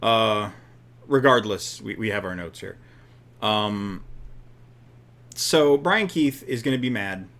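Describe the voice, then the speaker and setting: Low-voiced man booking wrestling casually. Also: expressive, takes it slowly, pauses often, fairly bright tone. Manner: easygoing, thinks aloud with fillers. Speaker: co-host playing a wrestling booking game. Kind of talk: casual gaming chat.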